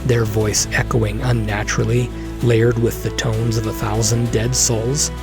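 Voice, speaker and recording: A man's voice: medium pitch, level tone, unhurried. A man giving solemn, dramatic scripted narration of a fantasy story. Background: loud music.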